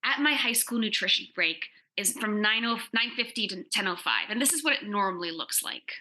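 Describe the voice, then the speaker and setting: A woman reading the part of an excitable, nerdy teenage girl in a comic reading, gushing and self-deprecating. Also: medium pitch, brisk, poor audio. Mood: confiding, wry. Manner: gushing, chatty teenage exaggeration. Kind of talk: dramatic comic reading, first-person teen narration.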